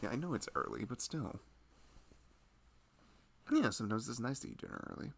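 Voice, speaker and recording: A man playing a big, caring husband in an intimate ASMR roleplay, talking softly and fussing affectionately over his pregnant wife. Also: mid-range voice, huge pitch swings, moderate pace, vocal fry, slightly rough, exaggerated voice. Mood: tender, reassuring. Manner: soft, tender, fussing, playful.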